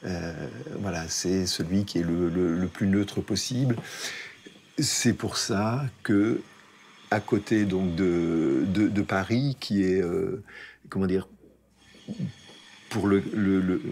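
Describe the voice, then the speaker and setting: Brief: deep male expert, halting interview answer, faint room ambience. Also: a little mellow, a little hiss or hum. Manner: hesitant, many restarts and fillers. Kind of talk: explanatory interview.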